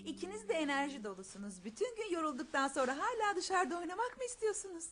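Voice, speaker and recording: A woman voicing a kindly, sceptical mother with a gently teasing manner in a dubbed children's cartoon. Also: very high voice, very animated, fast talker, exaggerated voice. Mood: light, friendly. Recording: music under the voice.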